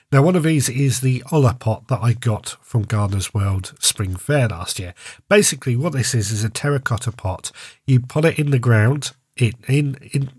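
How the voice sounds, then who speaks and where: A man's voice: mid-range voice, a little mellow, husky, dry recording. Congested man hosting a podcast. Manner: chatty and rambling, often restarts phrases. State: congested, stuffy nose and sore throat. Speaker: podcast host. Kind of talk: casual solo podcast monologue.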